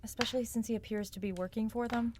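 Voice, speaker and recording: An actress playing a sharp, sarcastic young woman who probes for answers in a naturalistic audio drama. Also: fairly high pitch, steady tone, fast talker, noisy background, natural voice. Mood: sardonic, insistent. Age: young adult. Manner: wry, probing, sardonic.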